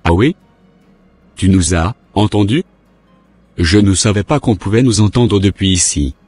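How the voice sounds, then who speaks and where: A curt, secretive husband who brushes off questions with irritation. His voice sits low and husky, with faint music behind him. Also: measured pace, darkish tone. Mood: defensive. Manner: brusque, dismissive.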